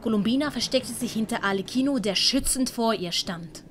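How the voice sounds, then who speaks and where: A woman's voice: on the high side, normal speed, slight background noise. A woman narrating a scripted audio drama as a storyteller.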